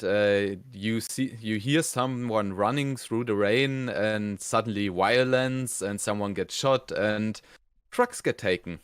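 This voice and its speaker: A man co-hosting a casual review, explaining things in a halting, conversational way. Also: lowish voice, smooth, no echo, clean studio sound. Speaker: review show co-host.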